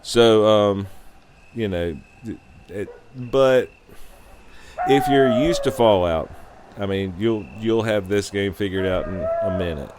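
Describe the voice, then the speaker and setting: Slow-talking man, casual and halting. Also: fairly low pitch, background noise. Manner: halting, loose, unscripted. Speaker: gamer giving a game review. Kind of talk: casual, rambling game review.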